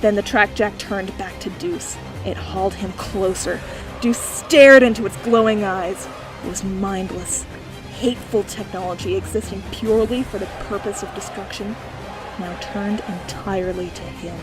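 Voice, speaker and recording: A woman narrates a noir adventure audiobook in a steady, clear storytelling voice. Her pitch sits in the middle range. Soft music plays faintly beneath her. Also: normal speed, low-quality recording. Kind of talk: scripted narration.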